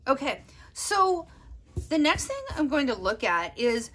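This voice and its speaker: A woman's voice: sits high, big pitch swings, a little slow, a bit bright. A woman art teacher giving a step-by-step drawing lesson, explaining as she works and thinking aloud. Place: desk, overhead camera on drawing.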